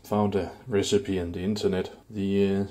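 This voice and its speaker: A man casually narrating a home cooking vlog in a laid-back, somewhat halting way. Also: low voice, flat delivery, warm tone. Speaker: home cook, video host. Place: indoors in a home kitchen.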